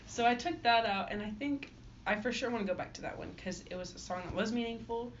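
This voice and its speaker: A woman's voice: a little high, quick pace. A young woman, a singer-songwriter, chatting casually in an interview and filling her answers with "like". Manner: chatty, many fillers. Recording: dull lo-fi audio with faint noise.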